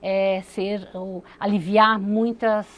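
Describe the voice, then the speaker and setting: A woman's voice: mid-range voice, little pitch movement, slow pace, a bit bright. A woman, a city secretary explaining her views in an earnest studio interview, with many fillers and restarts. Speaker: municipal secretary, interview guest.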